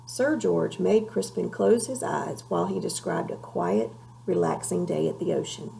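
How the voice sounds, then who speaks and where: A woman reading a children's story aloud in an expressive, measured way, with a creaky voice. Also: fairly low pitch, a little hiss or hum, poor audio. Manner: measured, animated read-aloud delivery. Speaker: storybook reader.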